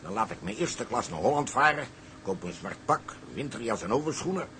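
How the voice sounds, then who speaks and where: An actor playing a determined, brash sea captain in a Dutch radio drama, speaking emphatically. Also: male voice, mid-range voice, big pitch swings, brisk, bright, forceful tone, a little hiss or hum.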